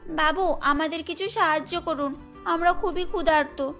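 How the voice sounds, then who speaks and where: High, pleading village girl, voiced by a woman. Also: fairly even intonation, moderate pace, a bit bright. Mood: hungry pleading. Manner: earnest, pleading, girlish. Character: hungry, pleading young village daughter. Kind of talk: cartoon story dialogue. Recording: music bed under the voice.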